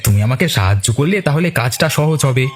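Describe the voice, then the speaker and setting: Man playing simple cartoon village husband, quick speech, agreeable, music behind. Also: mid-range voice, expressive, lightly acted. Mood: planning. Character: simple, obliging village husband who sells pumpkins. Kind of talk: cartoon voice acting.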